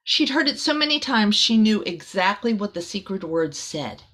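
A woman's voice: medium pitch, big pitch swings, normal speed, a bit bright. A middle-aged woman reads a children's picture book aloud expressively, with casual asides to young listeners, in a small room.

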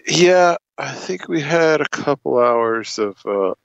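A man's voice: on the high side, lively intonation, slow, creaky, dry recording, muffled recording. A man chatting casually as a podcast co-host. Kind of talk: casual chat.

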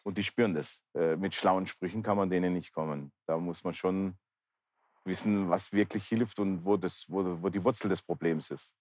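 Male interview guest, fifties, very low register, earnest plain-spoken testimony, dry sound. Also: fairly even intonation, moderate pace, darkish tone, dry recording. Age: 50s. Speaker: talk show guest, pastor. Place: large indoor bar hall, seated interview.

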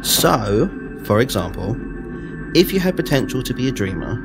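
A man's voice: medium pitch, very animated, moderate pace, over music, poor audio. An actor playing an idealistic, indignant teenage boy in a comic drama. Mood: explanatory.

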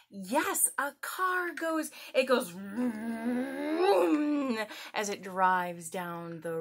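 Lady doing story time for little kids, slow pace, super animated, asks lots of encouraging questions. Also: medium pitch, husky. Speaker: children's story time presenter. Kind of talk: children's lesson, addressing young viewers directly. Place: small indoor room at home.

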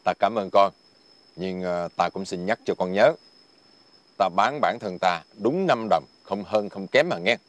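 An actor playing a gentle, patient old man who sells himself to find a caring child, in a television folk-tale drama with a slow, kindly, soft-spoken manner. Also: sits low. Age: older. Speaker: old father character.

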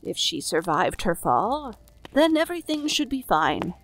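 A woman's voice: medium pitch, big pitch swings, measured pace, a bit bright, a little hiss or hum, rough recording. A woman playing a composed island leader in a fantasy audio drama, commanding and authoritative.

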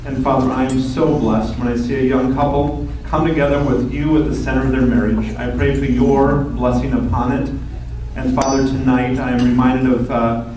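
A man saying an earnest prayer with pauses and fillers, holding a handheld mic in a large banquet hall. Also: mid-range voice, flat delivery. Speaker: relative giving a blessing. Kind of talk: spoken prayer. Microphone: handheld mic, far camera. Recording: echoey hall from far off, noisy.

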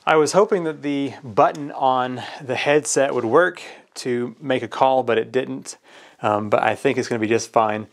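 A man's voice: medium pitch, moderate pace, gravelly. An American man in his thirties with a mid-range voice talks through a product review. He is casual and practical, explaining things as he tries them out.

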